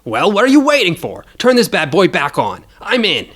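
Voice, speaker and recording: A man's voice: high voice, wildly swooping pitch, moderate pace, fairly bright tone, voice pushed up, exaggerated voice. A man voicing a cocky, bloodthirsty young cyborg bounty hunter in an audio story, with a swaggering manner. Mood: eager, excited.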